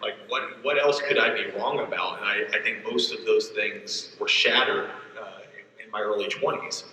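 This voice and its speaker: A man from Atlanta speaking earnestly and reflectively as a panelist, halting a little, recorded from afar in a convention hall. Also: on the low side, pushed and bright, distant mic. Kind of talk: panel discussion. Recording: echoey hall, mic from afar.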